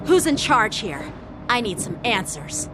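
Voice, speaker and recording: A young man voicing an earnest, dutiful young military lieutenant in a video game, speaking formally and with measured authority. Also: sits high, sing-song, background noise. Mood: stern, questioning. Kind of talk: English video game voice acting. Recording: music behind the voice. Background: game music.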